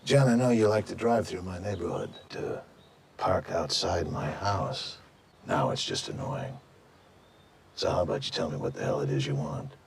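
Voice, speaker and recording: A man's voice: deep voice, animated, moderate pace, creaky, full character voice. An actor playing a cold, callous older father, curt and dismissive, in a TV drama. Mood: cold contempt. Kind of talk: TV drama acting. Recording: noisy TV audio with ambience.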